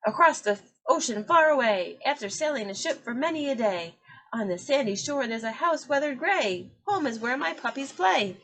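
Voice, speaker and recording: A woman's voice: sits high, lively intonation, normal speed, sharp tone, voice pushed up, full character voice, rough recording. A woman voicing a friendly female sled dog mother who barks between words. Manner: friendly and barking.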